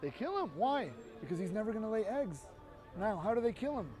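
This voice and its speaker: A man's voice: sits high, very animated, fairly quick, a little mellow, soft background music. Earnest man lecturing on a city street. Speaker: street activist. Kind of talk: persuasive street conversation.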